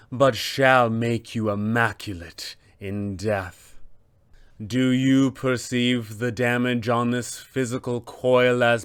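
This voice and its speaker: Male performer as icy goddess villainess, haughty, grandiose, menacing, slow drawn-out words, smooth tone. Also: mid-range voice, dry recording, studio quality, natural voice. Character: haughty, cold winter goddess villainess, female deity.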